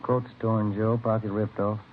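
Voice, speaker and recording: An actor playing a calm, practical police detective in a vintage radio drama, terse and businesslike. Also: male voice, lowish voice, unhurried, lightly acted. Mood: brisk, pressing. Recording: very noisy, lo-fi vintage radio recording.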